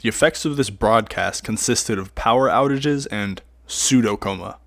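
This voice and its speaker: A man's voice: fairly low pitch, normal speed. A man giving casual, explanatory horror commentary as a voice-over. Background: music.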